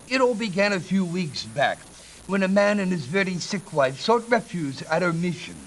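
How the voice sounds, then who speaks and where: An actor playing a worried, kindly Spanish mission padre in a video game, speaking in an earnest, solemn, theatrical way. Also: male voice, sits high, sing-song, normal speed, slight background noise, poor audio. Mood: anxious, pleading. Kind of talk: dramatic cutscene acting and storytelling.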